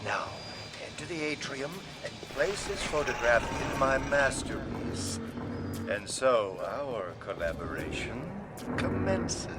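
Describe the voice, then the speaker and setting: A man's voice: medium pitch, lightly acted. A male actor playing a flamboyant, unhinged, sadistic artist in a video game, with a theatrical, grandiose delivery. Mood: commanding, grandiose. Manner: theatrical, grandiose, swinging from hushed whispers to outbursts. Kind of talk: dramatic video game monologue. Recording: loud music and noisy ambience underneath.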